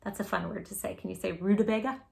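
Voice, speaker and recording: A woman hosting a children's storytime, speaking in an animated, gushing way straight to young listeners as she chats and reads aloud. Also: mid-range voice, fast, creaky. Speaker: children's storytime host and creator of the channel. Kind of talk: children's read-aloud and direct-to-viewer chat.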